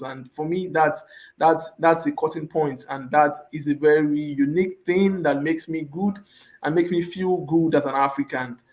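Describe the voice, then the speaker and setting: Youth leader guy on a video call, kinda high voice, earnest, lots of ums. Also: darkish tone. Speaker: panel guest, youth leader. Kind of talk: speaking on an online panel.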